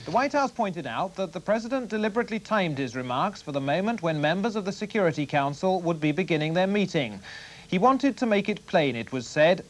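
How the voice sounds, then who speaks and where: High-voiced male TV news correspondent. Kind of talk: scripted news report.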